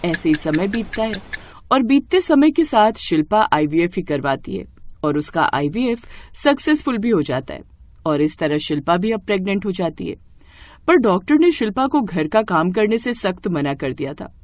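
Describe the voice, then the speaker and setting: A woman's voice: medium pitch, very animated, normal speed, darkish tone. A woman narrating a scripted family story as a storyteller.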